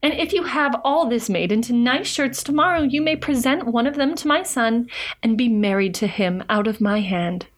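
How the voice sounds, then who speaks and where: A woman's voice: a little high, normal speed, lightly acted. A woman voicing a gracious, pleased queen in a storybook folktale, with approving, stately speech.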